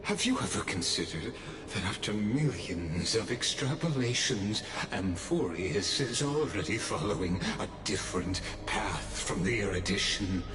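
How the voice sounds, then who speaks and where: An actor playing a grandiose, cold game villain who preaches destruction in a drawn-out, coolly mocking theatrical style. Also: male voice, fairly low pitch, lively intonation. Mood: coolly lecturing. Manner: theatrical, drawn-out dramatic delivery. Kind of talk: video game story voice acting. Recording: echoey game audio under music.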